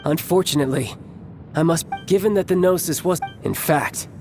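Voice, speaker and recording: A man playing a confident, courteous young warrior rival in a video game, speaking in a smug, measured, theatrical way. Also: a little high, over music, lightly acted. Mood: smug, composed.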